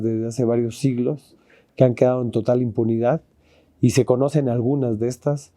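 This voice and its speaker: A man speaking in a documentary interview, his voice very dark and mellow. He talks haltingly, with frequent fillers and self-corrections, at a middle pitch. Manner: halting, many fillers and restarts. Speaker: human rights defender.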